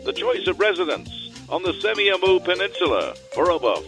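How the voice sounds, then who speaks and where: Male commercial announcer, high voice with lively swings, music bed underneath. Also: fairly quick. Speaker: advertisement announcer. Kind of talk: scripted radio commercial read.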